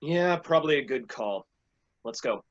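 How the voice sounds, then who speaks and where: A man's voice: medium pitch, animated, moderate pace, natural voice. A man playing a grumpy, whiny, bumbling police chief in a staged reading of a comedy. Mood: whiny, anxious. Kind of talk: staged reading of a comic play. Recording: slightly dull, lo-fi sound.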